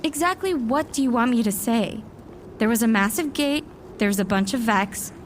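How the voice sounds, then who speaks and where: A woman's voice: a little high, animated, moderate pace, slight background noise, lightly acted. A woman acting a young, self-assured warlock student who narrates and speaks in a fan audio drama. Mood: exasperated.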